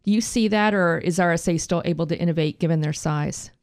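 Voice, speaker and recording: Low-toned woman interviewer asking questions. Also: brisk, clean tone. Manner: conversational, leading into questions.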